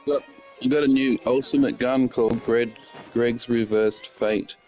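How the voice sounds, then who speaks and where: A male game streamer talks in an offhand, conversational way while playing. His voice sits at a middle pitch, with faint music behind it. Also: steady tone, a little slow, darkish tone.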